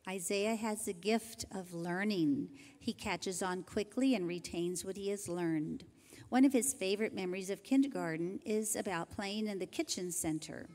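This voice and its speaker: An older woman teacher reading graduation introductions into a handheld microphone, in a gentle, measured way. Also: mid-range voice. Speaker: kindergarten teacher. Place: large church sanctuary. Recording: hall reverb from a church PA.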